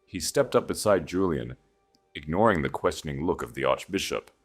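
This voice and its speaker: Male audiobook narrator, very low register with lively swings, dramatic delivery of period fiction over soft music. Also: normal speed. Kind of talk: dramatic scripted story narration.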